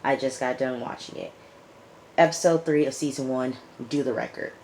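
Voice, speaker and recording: A woman with a raspy, hoarse voice from recent illness, reviewing a TV episode in a casual vlog and repeating her points for emphasis. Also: rumbling low, steady tone, normal speed, darkish tone, a little hiss or hum, rough recording. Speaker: YouTube TV reviewer.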